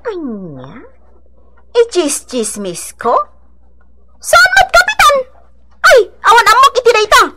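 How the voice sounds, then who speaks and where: A woman's voice: very high voice, huge pitch swings, takes it slowly, long pauses, heavy background noise, heavily acted. A woman playing a feisty, defiant village gossip with an Ilocano accent in a radio drama, scoffing and exclaiming theatrically. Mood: indignant, defensive.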